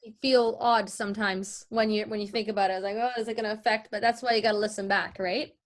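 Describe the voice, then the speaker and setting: A woman's voice: mid-range voice, steady tone, fast talker, a little hiss or hum. A woman teaching an informal online lesson, explaining and answering questions in a conversational way. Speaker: voice-over artist teaching a lesson.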